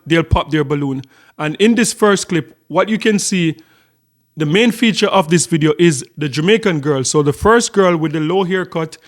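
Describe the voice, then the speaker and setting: A man commenting on a dating show clip for his reaction video in a calm, explaining way. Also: high voice. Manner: calm, explaining step by step, some ums.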